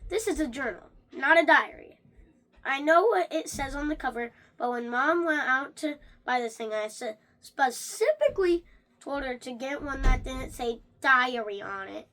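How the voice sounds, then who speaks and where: High-pitched reader doing a children's book read-along. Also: normal speed. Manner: animated, stumbles over words and restarts. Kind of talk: reading a children's book aloud.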